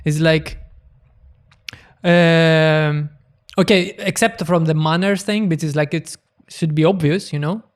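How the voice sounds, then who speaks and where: A man hosting a podcast in casual chat, talking in a halting, hesitant way. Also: a little high. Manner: hesitant, halting, with many fillers and restarts. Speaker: podcast host.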